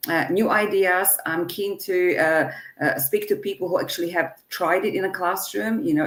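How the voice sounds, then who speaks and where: A woman language teacher speaking earnestly in a panel discussion, often restarting her sentences. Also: on the low side. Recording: echoey room.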